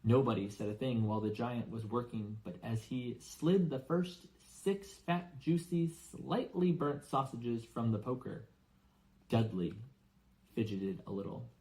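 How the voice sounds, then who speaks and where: A man narrates a storybook from a script. His voice sits at an average male pitch, in the manner of an audiobook reader. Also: unhurried, warm tone, poor audio.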